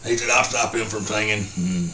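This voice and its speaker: A man voicing a slow, simple-minded rural Southern man, telling a folksy story in halting, deadpan short sentences with grunted hums and a Southern US accent. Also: fairly low pitch. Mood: deadpan, plodding. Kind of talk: folksy storytelling, film character impression. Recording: roomy echo, faint background noise.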